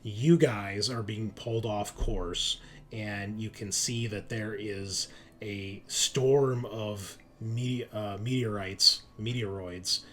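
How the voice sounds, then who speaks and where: A man's voice: on the low side, fairly bright tone. A man narrating a tabletop roleplaying game as game master, setting scenes and calling for rolls.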